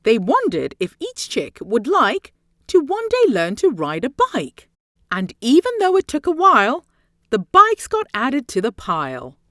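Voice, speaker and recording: Woman reading a rhyming storybook, very animated. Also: a little high, no room sound, studio quality. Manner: theatrical, deliberate phrasing with dramatic emphasis. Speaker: storybook reader. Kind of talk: expressive children's read-aloud of rhyming story.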